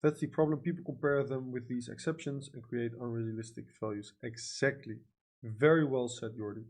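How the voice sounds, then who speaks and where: A man's voice: medium pitch, normal speed, darkish tone. A young man with a Dutch accent chatting casually on a livestream, answering comments with lots of "you know" and "like", blunt and sometimes profane. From Netherlands. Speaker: livestream trader.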